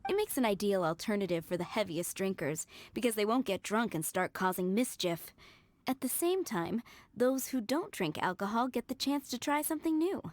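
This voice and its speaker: Game role: actress as gentle, deferential maid of a wine estate, mid-range female voice, polite and composed. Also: medium pitch, animated, moderate pace, no echo, natural voice. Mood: polite, explanatory. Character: courteous, devoted female winery maid, gentle and poised. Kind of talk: video game voice acting. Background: music.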